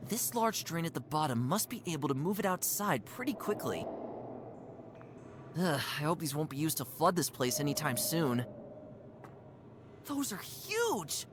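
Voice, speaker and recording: An actor voicing a curious, plucky young animal hero in a cartoon adventure game, with expressive, wry, dramatic delivery over loud music. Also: mid-range voice, background noise, natural voice. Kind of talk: cartoon adventure game voice acting, observational monologue.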